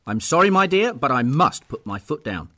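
A man's voice: mid-range voice, very animated, normal speed, bright, forceful tone, a little hiss or hum. A man playing a stern but loving noble lord and father in an audio drama, speaking in a formal, archaic, commanding way. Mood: angry, commanding.

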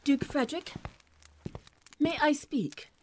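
A woman's voice: high voice, slow pace. A woman playing a timid, polite, anxious young lady of the court in an audio drama.

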